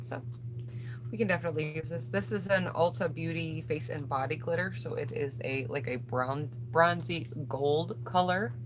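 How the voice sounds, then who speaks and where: A woman's voice: low-pitched, noisy background. A woman chatting casually on a livestream as a beauty vlogger, thinking aloud and addressing viewers directly as she presents products.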